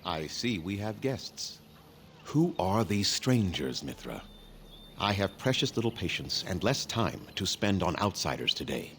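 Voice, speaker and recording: An actor playing a weary, haughty elven clan leader in a fantasy video game, speaking formally and gravely in a measured way. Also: male voice, low-pitched, big pitch swings, fairly bright tone, slight background noise, heavily acted. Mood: stern, formal.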